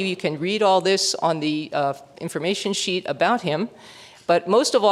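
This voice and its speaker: A host giving a formal introduction of a guest speaker, warm in praise and correcting a small slip along the way. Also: mid-range voice.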